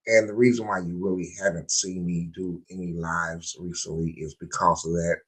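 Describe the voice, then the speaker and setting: A man with a very low, hoarse and raspy voice hosts a live stream. He kicks off with loud, stretched-out hype, then drifts into relaxed, rambling talk to his viewers. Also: moderate pace. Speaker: podcast host.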